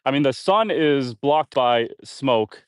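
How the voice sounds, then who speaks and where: A man's voice: medium pitch, slow pace, clean tone, dry recording. A man live-streaming from his homestead, chatting casually while he builds and reading viewer comments, sounding a little congested. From Canada. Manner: relaxed, chatty, reads viewer comments aloud. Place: outdoors on a homestead.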